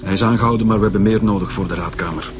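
A man playing a measured, sceptical senior investigator in a naturalistic radio drama, businesslike in delivery. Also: on the low side, close to an everyday voice.

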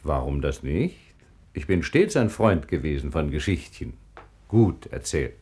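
An actor playing a proud, impatient sultan in a classical German radio play, with a husky voice and pointed, theatrical delivery. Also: male voice, extremely low pitch, big pitch swings, unhurried, darkish tone, heavily acted. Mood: amused, inviting. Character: proud, impatient sultan, commanding yet curious.

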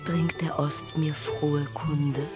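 A woman's voice: low-pitched, level tone, slow, over loud music. A woman playing a languid reciter of love verse in a radio play. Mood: tender, lyrical.

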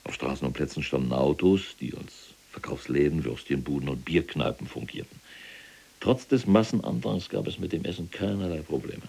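Very deep, gravelly SS general. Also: male voice, normal speed, darkish tone, heavily acted. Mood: smug delight. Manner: boastful, precise, military enumeration. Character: proud, unrepentant older SS general in prison, recalling his deeds. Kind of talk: German radio play dialogue.

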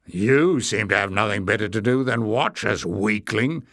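A man's voice: on the low side, moderate pace, fairly bright tone, no echo, clean studio sound. A man voicing a huge, belligerent, boastful warrior in a fantasy story, growling and blustering. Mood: surly. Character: hulking savage male warrior, boastful, belligerent, slow-witted.

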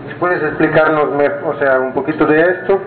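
A man's voice: on the high side, normal speed, a little hiss or hum. Hesitant man in an echoey hall. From Italy. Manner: hesitant, searching for words. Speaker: audience member asking questions. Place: meeting hall with an audience. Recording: echoey room over a tinny lo-fi line.

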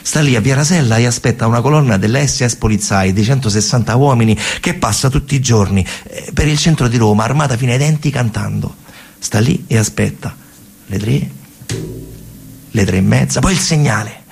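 A man performing dramatic theatrical storytelling, in short emphatic phrases with repetitions and deliberate pauses. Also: medium pitch, vocal fry, breathy edge. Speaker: stage storyteller, actor performing a narrative monologue.

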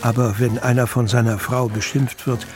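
A man's voice: medium pitch, little pitch movement, moderate pace, darkish tone. A man narrating a scripted, dramatic audiobook as a storyteller. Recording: music bed under narration.

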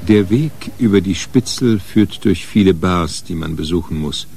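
A man's voice: low-pitched, normal speed, vocal fry, darkish tone, a little hiss or hum. A man narrating a radio crime drama in a scripted, literary style.

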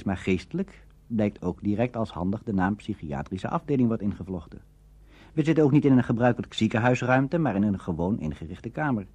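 A man's voice: mid-range voice, a little mellow, slightly rough, no room sound. A man narrating a radio documentary from a script, as its presenter.

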